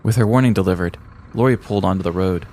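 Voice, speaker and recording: Very deep-voiced American man narrating. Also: fast talker, a little mellow, slight background noise. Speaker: podcast host telling a story. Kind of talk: scripted comedic narration.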